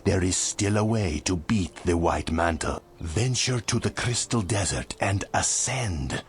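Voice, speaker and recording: A man's voice: low-pitched, expressive, measured pace. A man playing a grave, commanding mentor in a video game cutscene, solemn and declamatory as he directs chosen heroes. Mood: stern, urging.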